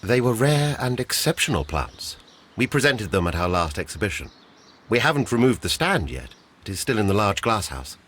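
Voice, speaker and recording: A bossy, stiffly formal deputy director for a video game. His voice is lowish and distinctly husky, swinging widely in pitch as he gives curt instructions. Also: big pitch swings, normal speed, fairly bright tone, heavily acted. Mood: matter-of-fact. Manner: officious, brusque, formal.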